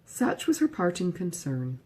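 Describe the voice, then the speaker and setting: A woman's voice: lowish voice, big pitch swings, a little slow, dark tone, breathy edge. A woman reading a classic novel aloud as scripted audiobook narration. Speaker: audiobook reader.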